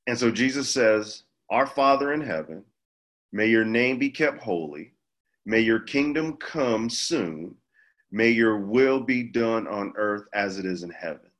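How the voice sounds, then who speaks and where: A man speaking as a pastor, giving an informal Bible teaching in a measured, halting way with pauses mid-phrase. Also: on the low side, expressive, fairly bright tone. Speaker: pastor leading a church group.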